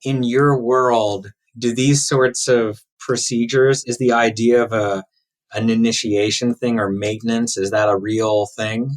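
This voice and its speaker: A man's voice: medium pitch, fairly even intonation, measured pace, rough recording. A man hosting a podcast, interviewing guests in a conversational way. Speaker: podcast host interviewing doctors.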